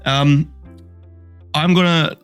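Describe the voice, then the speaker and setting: A man's voice: a little high, fairly even intonation, extremely slow, a bit bright, smooth, soft background music. A man co-hosting a movie podcast, ranting bluntly and swearing freely as he reviews a film. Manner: blunt, swears a lot, rants with rising energy. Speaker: podcast co-host. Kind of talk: casual movie review chat.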